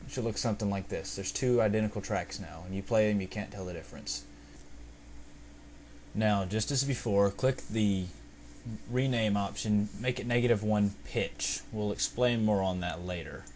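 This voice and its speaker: Low-voiced man, noisy home tutorial recording. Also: normal speed. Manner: informal, unscripted, explanatory. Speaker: video tutorial presenter. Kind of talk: casual step-by-step software tutorial narration. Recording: amateur home recording, steady background noise.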